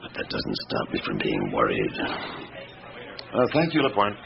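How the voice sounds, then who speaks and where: Very deep, gravelly police inspector. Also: male voice, huge pitch swings, measured pace, dull audio, heavily acted. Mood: gruff, worried. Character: brooding weary middle-aged male police chief inspector. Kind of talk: radio drama acting with inner monologue. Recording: noisy band-limited radio broadcast recording.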